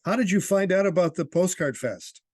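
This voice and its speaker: A man's voice: high-pitched, animated, moderate pace, darkish tone, no room sound, clean studio sound. A man conducting a conversational interview, speaking in an encouraging, jokey and teasing manner.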